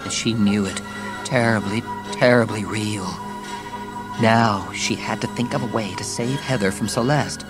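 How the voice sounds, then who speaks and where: A man's voice: fairly low pitch, steady tone, measured pace, low-quality recording. A man reading scripted, dramatic narration for a children's audiobook. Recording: music behind the narration.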